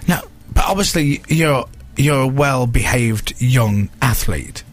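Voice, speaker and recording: A man hosting a radio chat, jokey and halting, often restarting his sentences as he asks questions. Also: medium pitch, animated. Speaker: radio host. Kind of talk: casual chat show.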